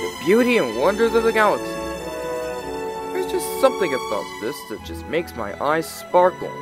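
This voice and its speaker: A man's voice: sits high, huge pitch swings, moderate pace, over loud music. A man voicing a cheerful, hokey dog detective in an amateur cartoon, with folksy, florid exclamations. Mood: dreamy.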